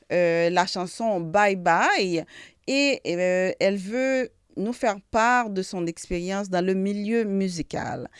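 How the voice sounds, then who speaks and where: A woman's voice: mid-range voice, clear, resonant tone. A woman hosting a casual interview, hesitant with many fillers. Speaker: talk show host.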